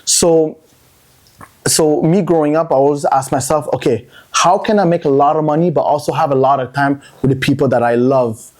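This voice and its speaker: A man's voice: on the high side, fairly even intonation, normal speed, a little mellow. Chatty Montreal man telling his story. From Montreal, Canada. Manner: chatty storyteller who repeats words and restarts phrases. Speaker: podcast guest, entrepreneur and day trader. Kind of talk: casual podcast interview.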